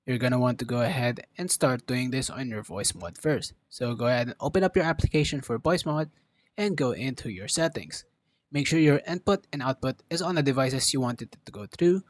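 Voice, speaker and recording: A man narrating a casual step-by-step software tutorial in an informal, instructional way. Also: medium pitch, expressive, fairly quick, slight background noise. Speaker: tutorial presenter.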